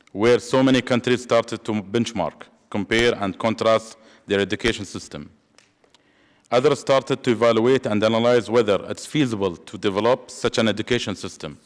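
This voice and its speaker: A man opens a panel as its chair in a formal, steady, scripted way. His voice sits fairly low and carries a long hall reverb. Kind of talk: formal prepared remarks. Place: large conference hall.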